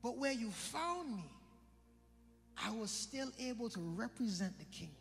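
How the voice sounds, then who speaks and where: A man's voice: very high-pitched, big pitch swings, measured pace, creaky, fairly bright tone, big-room sound, faint music behind. A young man from the British Virgin Islands with an island accent, preaching a conversational sermon that repeats phrases and calls out listeners by name.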